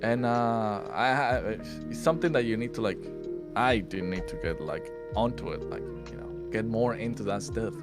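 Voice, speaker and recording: A man's voice: lowish voice, moderate pace. A man hosting a casual chat over a voice call, swearing freely and acting out voices. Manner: swears a lot, acts out voices, lively. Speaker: podcast host and streamer. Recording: voice call with lofi music behind. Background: lofi music.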